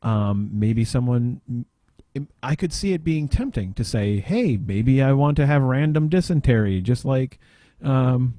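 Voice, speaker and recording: Mellow man thinking aloud, very dry sound. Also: medium pitch, animated, no room sound. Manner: tentative, halting, thinking aloud in questions. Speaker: podcast co-host. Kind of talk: casual podcast discussion.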